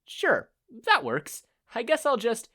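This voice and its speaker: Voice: medium pitch, huge pitch swings, slow pace, bright, forceful tone, pitched above the performer's own voice, heavily acted. A performer voicing a confident, wisecracking woman superhero with casual, taunting quips in an audio story. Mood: impatient.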